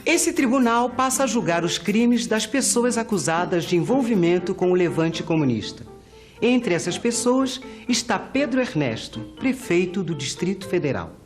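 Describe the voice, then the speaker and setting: A woman's voice: medium pitch, sing-song, normal speed. A Brazilian woman giving formal, scripted historical narration for a documentary. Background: prominent background music.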